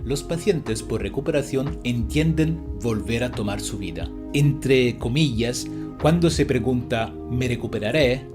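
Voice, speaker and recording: A man from Italy, a native Italian speaker, presents an educational video as a therapist in a scripted, didactic way, often posing rhetorical questions. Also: medium pitch. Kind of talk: scripted educational narration addressed to viewers.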